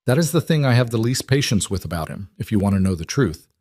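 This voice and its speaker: A man voicing a blunt male crime boss in his sixties, gravelly and calm in a plain-spoken, lecturing way. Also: lowish voice, fast, dry recording, clean studio sound. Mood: frank. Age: 60s.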